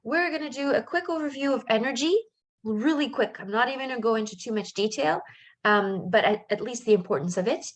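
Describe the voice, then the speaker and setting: A woman's voice: on the high side, fairly quick. A middle-aged woman who was born in Canada and raised in France, a life coach giving a casual live webinar in a chatty, upbeat way with lots of fillers and asides.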